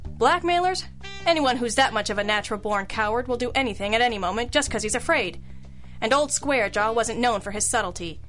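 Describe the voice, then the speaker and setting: A woman's voice: a little high, expressive, brisk, a bit bright, soft background music. A woman playing a wry, tough girl detective in an old-time noir radio drama, sardonic and knowing. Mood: wry narrating.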